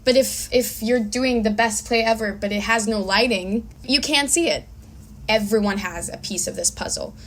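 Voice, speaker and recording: A woman's voice: medium pitch, normal speed, a bit bright. A woman giving earnest, emphatic answers in a casual interview as a guest actor and filmmaker.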